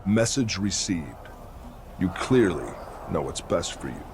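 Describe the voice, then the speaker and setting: A man's voice: deep voice, big pitch swings, unhurried, a little mellow, a little hiss or hum. A man playing a stern, commanding veteran wrestler who leads a faction, blunt and authoritative, in a video game cutscene. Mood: cold. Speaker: wrestler, leader of a stable.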